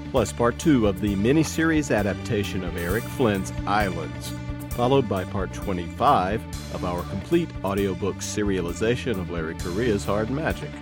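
A man reading scripted narration as the host and editor presenting a podcast. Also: fairly low pitch.

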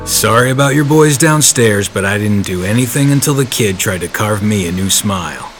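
A man playing a hard-boiled, laconic 1930s ex-convict strongman with calm menace in an audio drama. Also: on the low side, normal speed, music in the background, close to an everyday voice. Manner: laconic, tough, matter-of-fact.

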